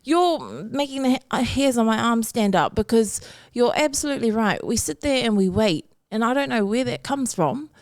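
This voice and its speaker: A woman's voice: fairly high pitch, animated, moderate pace, few pauses, no room sound, clean studio sound. A woman from New Zealand co-hosting a podcast, speaking emphatically with pointed rhetorical questions. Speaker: podcast co-host. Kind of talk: casual chat.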